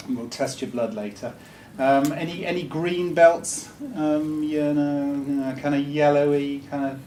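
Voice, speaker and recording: A man's voice: on the high side, a little mellow. A man from Wales, giving a chatty, joking talk to a live audience with many ums and restarts. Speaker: speaker. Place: meeting room. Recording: distant mic with faint room noise.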